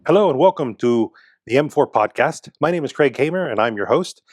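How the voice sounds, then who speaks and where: Fast-talking male podcast host. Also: mid-range voice, expressive, dry recording, clean studio sound, faint music behind. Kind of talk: podcast interview hosting.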